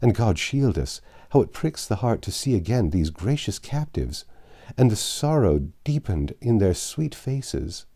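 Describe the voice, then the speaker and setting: A man's voice: fairly low pitch, big pitch swings, unhurried, dark tone, heavily acted. A man reading a storybook part as an earnest, adoring young medieval damsel with reverent, archaic speech. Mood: sorrowful.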